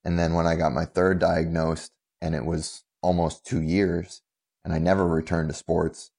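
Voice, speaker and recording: A young man, a former athlete, answering interview questions in a measured, methodical way. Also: sits low, little pitch movement, vocal fry, a little mellow.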